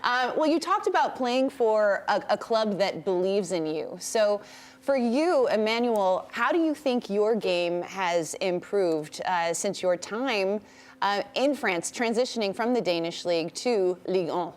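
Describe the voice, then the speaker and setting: Woman co-host, slow and measured, medium voice. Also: medium pitch, expressive. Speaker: show host. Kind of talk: interview questions on a sports show.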